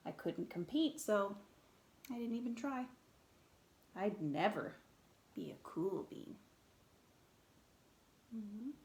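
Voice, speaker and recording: A woman's voice: mid-range voice, lively intonation, slow, pauses often, a little mellow, reverberant. A woman voicing a self-deprecating, awkward young male bean, wistful and rueful, from a children's storybook. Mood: dejected.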